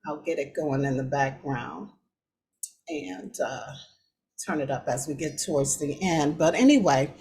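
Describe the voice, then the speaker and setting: Low-voiced American woman hosting casually. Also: lively intonation, measured pace, a little mellow, a little hiss or hum. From United States. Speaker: host of a news and culture talk show. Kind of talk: casual news commentary with personal stories.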